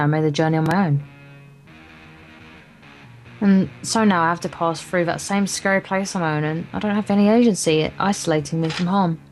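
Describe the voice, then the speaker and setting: A woman with a slightly low voice reads a story game aloud as a casual co-player. She trips on the odd word and carries on. Guitar music plays softly behind her. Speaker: guest co-player reading the narration aloud. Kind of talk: reading a visual novel aloud, informal let's play. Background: game's guitar music.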